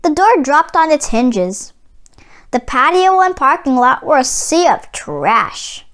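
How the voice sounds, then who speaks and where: Very high-voiced woman reading a children's story. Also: slow pace. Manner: expressive storytelling. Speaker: storybook reader. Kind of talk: children's read-aloud narration.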